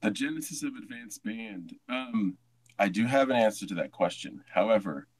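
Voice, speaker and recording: A man's voice: on the low side, animated, normal speed, breathy edge, low-quality recording. A man getting over a head cold, chatting casually in a self-deprecating, joking way. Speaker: guest, audio drama creator. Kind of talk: casual chat.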